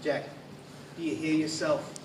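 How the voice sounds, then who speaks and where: A man's voice: fairly high pitch, level tone, measured pace, close to an everyday voice. A man playing a skeptical, exasperated detective, blunt and wry, in a hard-boiled radio play. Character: skeptical, exasperated, practical police detective partner. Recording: echoey, distant, noisy stage recording.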